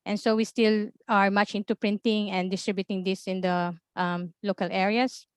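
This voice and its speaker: A Filipino woman with a Filipino accent, presenting in a webinar as an observatory information officer in a measured way, with many ums and uhs. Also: mid-range voice, flat delivery, smooth, no room sound, clean studio sound. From Philippines.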